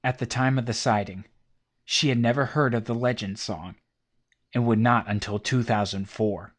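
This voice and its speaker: A man giving measured, documentary-style scripted narration between witness accounts. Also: mid-range voice, fairly even intonation.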